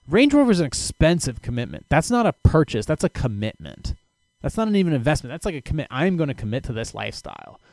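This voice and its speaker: A man hosting a casual solo podcast, giving blunt, animated advice on listener questions, with frequent restarts and swearing. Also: a little high, dry recording.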